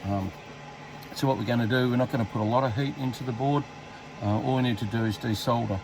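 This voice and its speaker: An Australian man giving a casual hands-on repair tutorial, talking in a halting, unscripted, step-by-step way as he works. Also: mid-range voice, steady tone. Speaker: hobbyist demonstrating a radio repair. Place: indoors at a repair workbench. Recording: clearly noisy amateur workbench recording.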